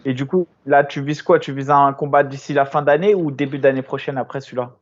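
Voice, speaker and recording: A man's voice: medium pitch, steady tone, fast talker, lo-fi sound. A man hosting a casual interview, asking questions informally and rephrasing them as he goes. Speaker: podcast host, interviewer.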